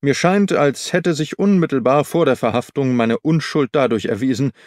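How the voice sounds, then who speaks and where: A man voicing a weary, earnest and dignified gentleman in an audiobook, speaking in formal, measured phrases. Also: mid-range voice, dry recording, studio quality, close to an everyday voice. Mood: earnest, pained. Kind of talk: audiobook character dialogue.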